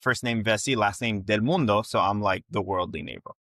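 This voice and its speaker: Young guy doing a casual livestream, lowish voice, dry close sound, talks right to viewers and keeps checking in. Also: fairly quick, pushed and bright, no echo. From Ecuador and North Carolina. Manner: informal, addresses viewers directly with frequent check-ins. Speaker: content creator hosting a livestream. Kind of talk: casual livestream monologue to camera.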